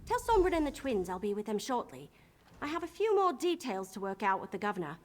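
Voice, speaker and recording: A woman's voice: a little high, big pitch swings, brisk. A woman voicing a spunky young female elf adventurer in a video game, informal and wry. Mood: plucky, wry. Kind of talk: video game character acting.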